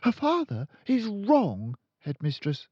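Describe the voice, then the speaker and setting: An earnest, anxious young schoolmistress from a children's story, played by a man. The voice sits high and moves up and down as she politely insists. The sound is dry and a little dull. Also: huge pitch swings, slow pace, darkish tone, breathy edge, dry recording, full character voice. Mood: pleading. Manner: pleading, polite but insistent. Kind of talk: children's audiobook character voice.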